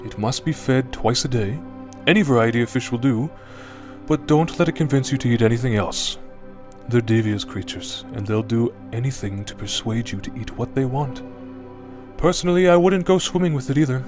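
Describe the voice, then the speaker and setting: Man acting as mysterious curiosity-shop keeper, smooth voice, medium pitch, measured, formal, quietly ominous, radio drama, prominent music underneath. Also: natural voice. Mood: warning, firm. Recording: voice over prominent background music.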